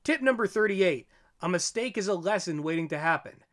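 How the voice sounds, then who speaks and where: A man co-presenting a scripted list of advice in a measured, instructional way. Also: high-pitched, lively intonation, fairly bright tone. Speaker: co-presenter, audio drama writer. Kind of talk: scripted presentation of numbered writing tips.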